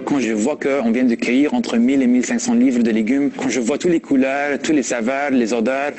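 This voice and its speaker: A man's voice: mid-range voice, steady tone, fairly quick, darkish tone. Earnest Canadian French man with music behind. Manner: earnest, hesitant with frequent "euh". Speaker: urban farm co-founder interviewed in a report. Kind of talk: interview clip in a TV report.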